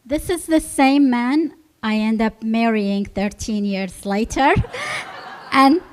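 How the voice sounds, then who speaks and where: A woman in her fifties telling a personal story to an audience in an unhurried, reminiscing way. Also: fairly high pitch. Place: library event stage.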